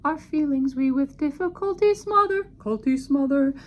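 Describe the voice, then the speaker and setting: A woman's voice: high voice, normal speed, muffled recording, slight background noise, pitched above the performer's own voice, lightly acted. A woman voicing timid, cowardly comic-opera policemen in a bouncy, singsong puppet-show style. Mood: reluctant singing. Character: timid, cowardly policemen singing about their reluctant duty.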